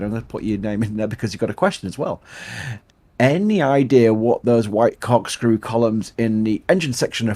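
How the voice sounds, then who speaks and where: A chatty man with a Yorkshire accent hosts a live stream, reading out viewer questions and thanking supporters in a relaxed, rambling way. His voice sits at an average pitch. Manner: chatty, laughs often, thanks supporters, restarts a lot. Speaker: livestream host. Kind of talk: casual live commentary.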